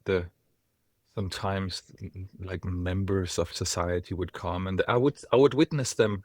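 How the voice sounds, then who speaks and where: A man from Denmark, a former monk, telling his life story as a podcast guest in a reflective, wry way with lively asides. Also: low-pitched, unhurried, no room sound. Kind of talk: casual podcast conversation.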